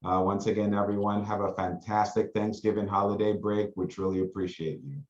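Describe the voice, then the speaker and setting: A man's voice: lowish voice, steady tone, fairly quick, roomy sound, highs cut off, a little hiss or hum. A man hosting a lecture series, giving closing thanks in a hesitant way with many fillers. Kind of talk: closing remarks.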